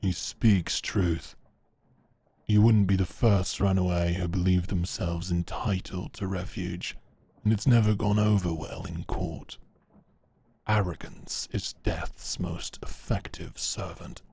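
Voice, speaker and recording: Gravelly, stern knight played by a man. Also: low-pitched, fairly even intonation, dark tone, slight background noise. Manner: measured, laconic, knowing. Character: stern, shrewd male knight captor, calm and observant. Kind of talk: dramatic audio roleplay acting.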